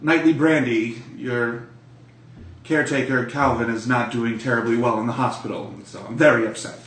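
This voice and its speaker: A man's voice: mid-range voice, steady tone, moderate pace, a bit bright, close to an everyday voice. A man voicing a haughty, demanding matriarch of a wealthy family in a tabletop roleplaying game. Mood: stern, upset. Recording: roomy, distant mic, music underneath.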